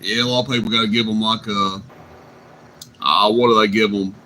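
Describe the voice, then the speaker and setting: A man hosting a CB radio night, chatting casually and telling drawn-out stories. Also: lowish voice, fairly even intonation, heavy background noise. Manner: drawling, easygoing storyteller. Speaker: CB radio host.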